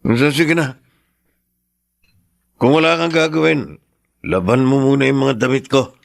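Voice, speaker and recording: A man's voice: mid-range voice, measured pace, gravelly, no room sound, clean studio sound. An actor playing a troubled, guilt-ridden middle-aged father and ex-convict in an emotional radio drama. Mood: gruff, irritated. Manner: emotional, brooding or scolding.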